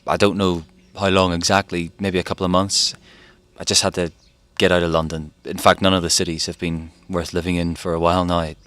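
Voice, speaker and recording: An actor playing a haunted fugitive physicist in a wartime audio drama, musing hesitantly as if recording a diary. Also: male voice, low voice, level tone, fairly quick, fairly bright tone, no echo. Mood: weary, rambling. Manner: hesitant, musing, with sighs and broken phrases. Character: haunted, rambling fugitive physicist, man living through a war.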